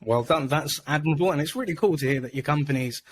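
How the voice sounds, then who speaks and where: A man's voice: a little high, fast talker, a little hiss or hum. A man hosting a podcast over a video call, upbeat and chatty, with lots of fillers. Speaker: podcast host. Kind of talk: casual video-call interview.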